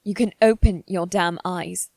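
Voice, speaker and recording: A woman voicing a haughty, anxious young noble boy in a halting, formal monologue for dramatic ASMR roleplay. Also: lowish voice, fairly even intonation, gravelly, mellow, no echo. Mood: panicked, insistent. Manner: halting, formal, self-reassuring delivery.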